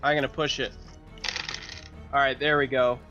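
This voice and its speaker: A man's voice: mid-range voice, little pitch movement, moderate pace. A man chatting casually as a player in a tabletop roleplaying game. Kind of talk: casual chat. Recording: dull lo-fi sound, music behind.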